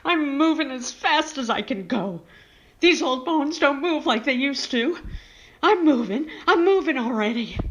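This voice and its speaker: A woman's voice: high-pitched, expressive, unhurried, rough recording. A woman playing a homeless old bag lady in a radio horror drama, muttering plaintively to herself with theatrical indignation. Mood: indignant. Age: older. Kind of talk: audio horror drama acting.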